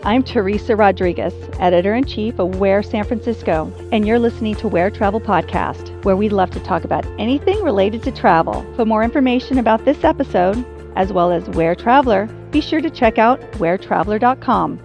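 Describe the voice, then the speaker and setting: A woman reads a scripted podcast introduction in a clear promo delivery, her voice in an ordinary middle register. Faint music sits underneath her. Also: mid-range voice, brisk, a little mellow. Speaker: podcast host.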